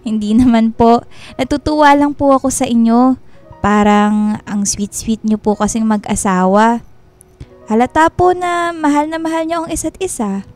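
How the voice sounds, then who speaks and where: Sweet, polite, high-voiced young woman played by an actress. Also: moderate pace, soft background music, close to an everyday voice. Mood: gently teasing. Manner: respectful, soft-hearted, hesitant. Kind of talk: Filipino radio drama acting.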